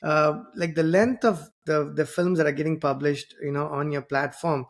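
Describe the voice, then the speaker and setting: A man's voice: fairly high pitch, fairly even intonation, moderate pace. Talkative man, fillers and restarts. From southern India. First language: Tamil. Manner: rambling, many fillers and restarts. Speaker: podcast host. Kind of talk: conversational interview.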